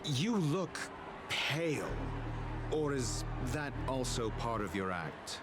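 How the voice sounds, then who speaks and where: A man's voice: mid-range voice, big pitch swings, takes it slowly. A man playing a smug, coldly polite manager in a video game, mocking and formal. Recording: game music underneath.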